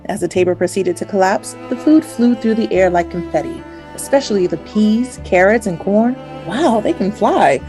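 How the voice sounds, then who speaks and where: A woman's voice: medium pitch, close to an everyday voice. A woman playing a wry, gleeful narrator, telling a radio play story in florid scripted prose.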